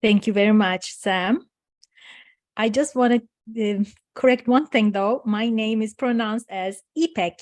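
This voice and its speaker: Lady on a radio interview, slow and warm, lots of ums, laughs easy, dry sound. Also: fairly high pitch, dry recording. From Turkey. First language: Turkish. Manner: warm, laughs easily, pauses with many fillers. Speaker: guest, coach and meditation teacher.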